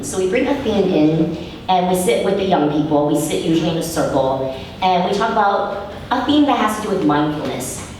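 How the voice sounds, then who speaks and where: A hoarse woman, a yoga and mindfulness teacher, calmly explaining her classes to a live audience. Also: on the low side, slight background noise. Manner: steady, explanatory, asks the audience questions. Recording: roomy hall mic from a distance.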